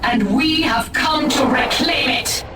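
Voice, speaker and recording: Game role: commanding female elf seer, disdainful and measured, fairly high voice, echoing and distant. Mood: cold contempt. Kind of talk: video game dramatic acting. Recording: echoing, distant voice under music.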